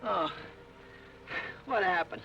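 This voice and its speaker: A chatty young greenhorn. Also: male voice, high voice, animated, slow, vocal fry, over music, exaggerated voice. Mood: dazed. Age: young adult. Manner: rambling, joking, laughs at himself. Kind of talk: 1950s western film dialogue. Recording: dull old film soundtrack with hiss.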